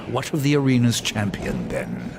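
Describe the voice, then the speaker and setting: A creaky, sniveling gatekeeper. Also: male voice, fairly low pitch, background noise, full character voice. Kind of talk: game cutscene voice acting.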